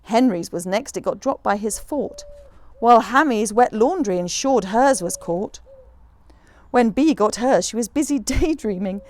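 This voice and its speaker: Animated woman reading a children's storybook. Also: on the high side, measured pace. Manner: animated, rhythmic rhyming delivery. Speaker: author reading own picture book. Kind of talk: expressive children's storybook read-aloud.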